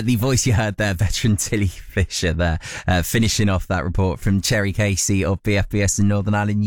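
A man presents on the radio in a fairly low voice. The studio sound is close and dry. Faint music sits underneath. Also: no room sound. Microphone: close studio microphone.

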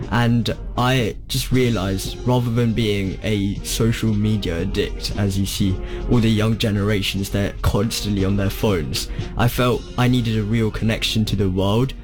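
Male interviewee, band player, earnest delivery, lower register, music underneath. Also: fairly even intonation, measured pace. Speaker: young band member, saxophonist, interview guest.

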